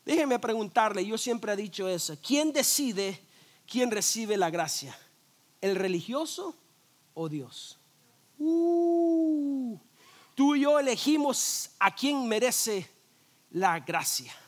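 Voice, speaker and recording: High-voiced man preaching with lively banter. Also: slow. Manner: energetic, conversational preaching with rhetorical questions and jokes; frequent restarts and repeated words. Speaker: pastor. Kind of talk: sermon.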